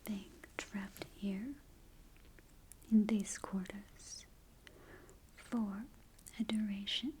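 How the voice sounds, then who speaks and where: A woman voicing a ghost guide in an ASMR roleplay, in a breathy whisper with slow, hushed, deliberate instructions. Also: medium pitch, little pitch movement, a little hiss or hum, poor audio. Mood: hushed, cautioning. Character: ghost guide briefing a newcomer to the afterlife. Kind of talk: soft-spoken ASMR roleplay.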